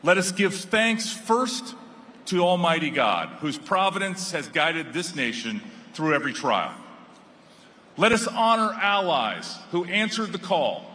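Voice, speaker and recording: A man delivering a formal, rhetorical speech at a ceremony with a solemn, measured cadence. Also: sits high, very animated, bright, forceful tone. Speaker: speaker at a formal celebration.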